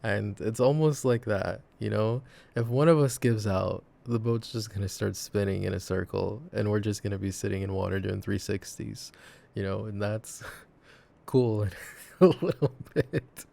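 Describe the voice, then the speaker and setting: Very deep, gravelly devoted boyfriend played by a man. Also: dark tone. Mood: giggly, amused. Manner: rambling, giggly, tender, halting. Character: devoted, silly, affectionate boyfriend, earnest and reassuring. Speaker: voice actor in an audio roleplay. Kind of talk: boyfriend roleplay ASMR, intimate monologue.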